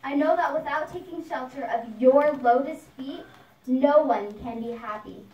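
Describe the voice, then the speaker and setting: In a roomy, echoing space, a high, earnest voice speaks as a saintly child played by a woman in a puppet show. The child is reverent and measured, praying with devotion and compassion. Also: dull audio, natural voice. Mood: pleading, devoted. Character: devout, joyful, compassionate saintly child praying to his Lord. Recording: echoey room, distant microphone.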